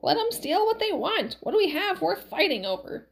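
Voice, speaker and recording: Lady doing a pushy old wife in a storybook, high creaky voice, always nagging. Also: big pitch swings, moderate pace, exaggerated voice. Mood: eager. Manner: urging, nagging. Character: pushy, shrewd old wife, slightly hard of hearing.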